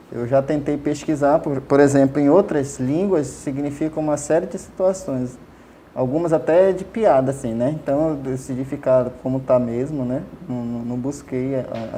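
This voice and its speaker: Mellow-voiced guy explaining things in an interview, keeps restarting and tagging questions, bit of background hiss. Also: mid-range voice. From Amazonas, Brazil. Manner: hesitant, many fillers and restarts, frequent tag questions. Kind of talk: casual explanatory interview answers.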